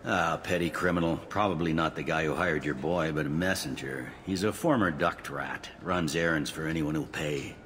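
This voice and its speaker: An actor playing a matter-of-fact, world-weary police officer in a video game, briefing in a dry, informal, clipped way. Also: male voice, rumbling low, normal speed, few pauses, fairly bright tone, a little hiss or hum. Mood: helpful, businesslike.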